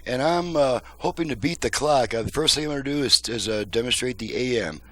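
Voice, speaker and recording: Halting, informal man demonstrating repair work. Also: medium pitch, sharp tone. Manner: halting, informal, thinking aloud. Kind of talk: casual product demonstration.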